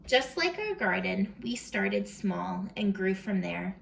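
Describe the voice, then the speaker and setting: Slow, creaky-voiced woman reading to children. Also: mid-range voice, a little hiss or hum. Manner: expressive, addresses children with questions, often drops into whispers. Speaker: read-aloud host for young children. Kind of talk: animated children's storybook read-aloud with chat to the listeners. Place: at home.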